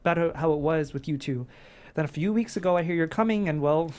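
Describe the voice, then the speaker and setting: A man's voice: on the high side, fast, darkish tone, close to an everyday voice. A man playing a tense, resentful bereaved man in a script table read. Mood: reproachful.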